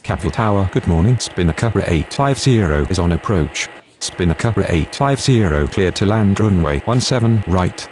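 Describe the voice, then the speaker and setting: A man's voice: low-pitched, expressive, normal speed, darkish tone. A man speaking clipped, scripted aviation radio phraseology in an air traffic control game.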